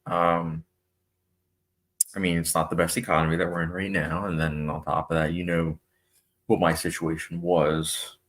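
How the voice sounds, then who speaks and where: Young man, deep voice, casual stream chat, reads comments aloud, filler-heavy. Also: little pitch movement, moderate pace, long pauses, fairly bright tone. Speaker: movie channel host.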